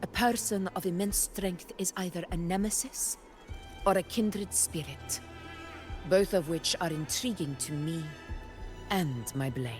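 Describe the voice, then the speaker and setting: Over background music, a woman acts a proud, unbending warrior ruler in a low register. She speaks formally and with measured command, as in a dramatic fantasy game scene. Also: close to an everyday voice. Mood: intrigued, challenging. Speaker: video game voice actor. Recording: music behind the voice.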